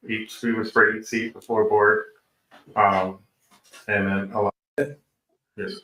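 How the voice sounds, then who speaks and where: A man's voice: lowish voice, level tone, takes it slowly, pushed and bright. A man giving terse, deferential testimony as a police witness, in echoey courtroom audio.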